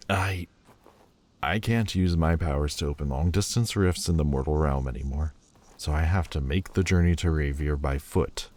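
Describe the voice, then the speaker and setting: A man voicing a sullen, proud demon lord who speaks with slow, scornful deliberation in an audio roleplay. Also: extremely low pitch, darkish tone. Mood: weary.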